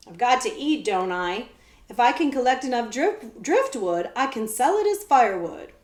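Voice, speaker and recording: A woman voicing a blunt, street-wise poor boy with rough old-fashioned speech in a children's story. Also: fairly high pitch, very animated, moderate pace, darkish tone, echoey room, pitched above the performer's own voice. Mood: gruff. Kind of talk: children's book read-aloud.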